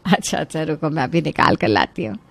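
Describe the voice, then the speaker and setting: A woman voicing a caring but fussy middle-aged mother-in-law in an animated story, chatty, fretful and motherly. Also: low-pitched, fairly quick, gravelly, no echo, exaggerated voice. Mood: indulgent. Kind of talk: Hindi animated story voice acting.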